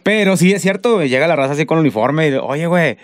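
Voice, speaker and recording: A man's voice: fairly high pitch, animated, fast talker, dry recording. Joking man in a studio. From northern Mexico. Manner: crude, joking storyteller. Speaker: radio podcast co-host. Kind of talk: casual comedic chat. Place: radio studio. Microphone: headset with studio mic.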